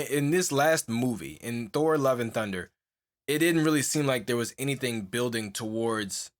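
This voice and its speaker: A young man co-hosting a podcast, talking in a loose, rambling way with lots of fillers and restarts. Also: mid-range voice. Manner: rambling, full of "like" and restarts, laughs often. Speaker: podcast co-host. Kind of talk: casual chat.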